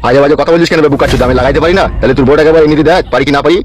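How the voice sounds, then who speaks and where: An actor playing a crooked, aggrieved politician, irritable and cursing, in a comedy cartoon. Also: male voice, a little high, racing, lightly acted. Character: crooked, aggrieved, hot-headed male politician boss.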